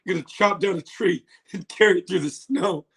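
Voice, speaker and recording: A hoarse man hosting a casual livestream reaction, excitable and laughing, swearing now and then, recorded on a phone. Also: sits high, brisk. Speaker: livestream host.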